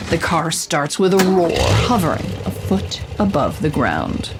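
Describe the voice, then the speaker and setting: An actress playing an investigative reporter, narrating a scripted audio drama with measured, descriptive storytelling. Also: female voice, low-pitched, sing-song, noisy background, exaggerated voice. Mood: intrigued, dramatic. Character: female reporter narrating her investigative holocast.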